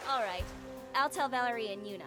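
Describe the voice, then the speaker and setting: An actress voices a young woman from a visual novel, reserved and a little prickly. Her voice is fairly high, with music playing behind her. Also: fast talker, lightly acted. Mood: matter-of-fact. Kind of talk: visual novel game voice acting.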